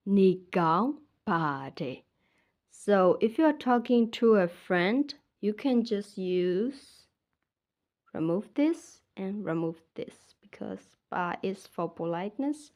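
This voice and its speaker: A woman's voice: mid-range voice, animated, takes it slowly, muffled recording. A young woman from Myanmar with a Burmese accent, teaching her language in a casual lesson and patiently saying each word twice.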